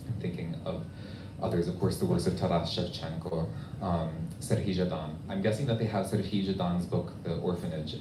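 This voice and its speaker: Very low-voiced man in an echoey room. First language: English. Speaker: author answering audience questions. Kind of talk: conversational book event Q&A. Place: bookstore event room. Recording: echoey room, distant mic, noisy.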